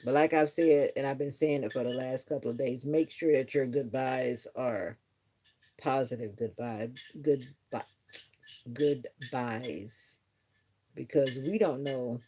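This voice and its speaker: A woman's voice: very deep voice, takes it slowly, mellow, husky. A middle-aged woman chatting casually on a live stream, musing earnestly to her viewers in a reflective, rambling way. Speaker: livestreamer.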